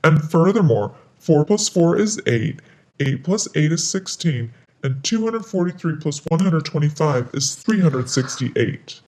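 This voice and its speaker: A woman's voice: low-pitched, expressive, measured pace, a little mellow, poor audio. A woman voicing a big, mean, bossy female capybara who talks in a blunt, boastful way in a children's story. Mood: smug, show-off. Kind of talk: children's story read aloud.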